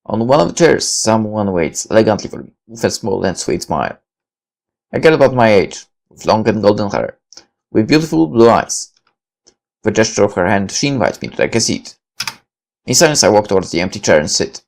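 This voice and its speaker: Creaky-voiced man narrating a visual novel. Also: lowish voice, big pitch swings, fairly quick, a little mellow. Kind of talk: dramatic read-aloud of scripted first-person narration.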